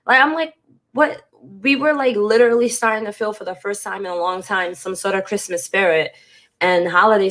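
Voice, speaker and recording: A woman vlogger with a congested, stuffy voice, talking frankly and emotionally to camera and swearing casually. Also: on the low side. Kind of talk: candid talk to camera.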